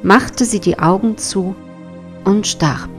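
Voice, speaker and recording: A woman narrating a scripted fairy tale as a storyteller, in a measured, deliberate manner. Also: mid-range voice, soft background music.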